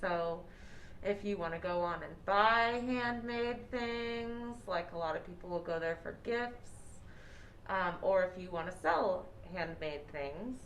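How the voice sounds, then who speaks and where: A woman's voice: fairly low pitch, slow, a bit bright, a little hiss or hum, low-quality recording. A woman founder speaking as an interview guest in a casual, personal storytelling style.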